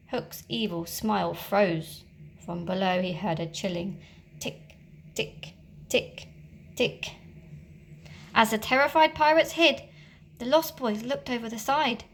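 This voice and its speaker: A woman teacher reading a children's storybook aloud, with expressive, dramatic storytelling. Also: on the high side, a little slow.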